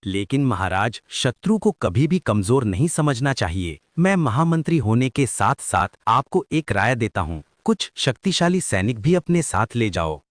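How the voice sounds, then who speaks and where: A man's voice: medium pitch, big pitch swings, moderate pace, hardly any pauses, no echo, clean studio sound, lightly acted. A man voicing a cautious, loyal chief minister of an animal kingdom in a cartoon moral story. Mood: cautioning.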